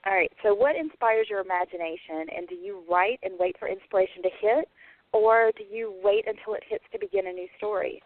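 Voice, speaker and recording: A woman's voice: medium pitch, a little fast. A woman hosting a radio interview, putting questions to a guest. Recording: tinny phone-like audio, roomy echo.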